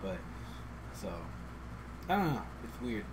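Lively young man with a slight lisp. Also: mid-range voice, slow pace, background noise. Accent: Midwestern. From Iowa, US Midwest. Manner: animated storyteller, laughs often, acts out dialogue. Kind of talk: casual podcast chat.

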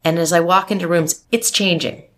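A woman's voice: lowish voice, steady tone, fairly quick, fairly bright tone. A woman in her forties, a marketing executive, talking in an interview in an emphatic, outspoken and optimistic way. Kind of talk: interview conversation.

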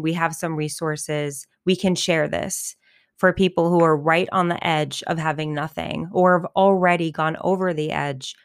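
A woman from New York City, talking earnestly as a guest in a casual conversation, with lots of fillers and restarts, her voice getting a little hoarse. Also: sits low, no room sound, studio quality. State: losing her voice, coughing.

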